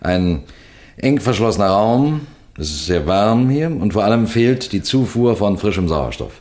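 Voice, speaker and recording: A man acting a shrewd middle-aged police detective in a radio mystery, with a deliberate, lecturing manner that builds suspense through pauses. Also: fairly low pitch, animated, darkish tone. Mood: explanatory. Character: shrewd, unhurried senior police detective explaining a case. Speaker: detective narrator.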